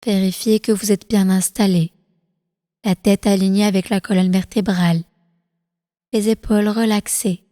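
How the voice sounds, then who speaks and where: A woman leading a guided relaxation meditation as instructor, calm and soothing, with slow, deliberate phrasing. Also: medium pitch, no room sound, clean studio sound, big-room sound. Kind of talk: guided prenatal meditation, yoga nidra.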